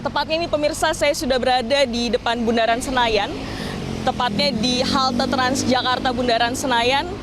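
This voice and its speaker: A woman giving an on-location news report as a field reporter, outdoors at a roadside. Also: sits high. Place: outdoors at a roadside bus stop. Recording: outdoor street with clear traffic noise.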